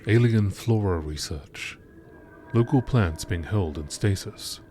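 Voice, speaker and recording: A man's voice: lowish voice, slow pace, dark tone. Man narrating like a nature documentary, noisy background. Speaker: narrator reading game lore entries. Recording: clearly noisy background ambience.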